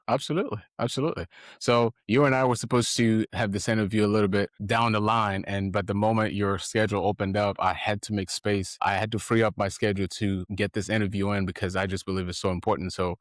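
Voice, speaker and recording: Deep-voiced man hosting a podcast. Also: no echo, studio quality. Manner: earnest, halting, with many restarts. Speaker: podcast host. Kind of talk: casual interview hosting.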